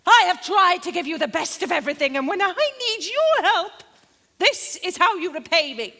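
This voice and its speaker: Casting: scolding mother, very high, sharp and swooping, declamatory stage delivery in a ringing hall. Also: sing-song, moderate pace, vocal fry, heavily acted. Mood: outraged. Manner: imperious, declamatory. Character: harried, scolding, domineering mother. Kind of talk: theatrical stage acting.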